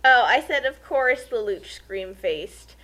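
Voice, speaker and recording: A woman's voice: fairly high pitch, animated, slow, pushed and bright. A woman doing casual comedic commentary in an emphatic, incredulous manner.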